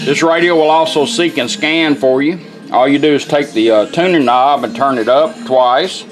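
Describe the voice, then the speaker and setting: A man's voice: medium pitch, moderate pace, poor audio. A man giving an informal, step-by-step hands-on product demonstration in a plain-spoken way. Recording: music playing from car radio behind. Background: music from the radio being demonstrated.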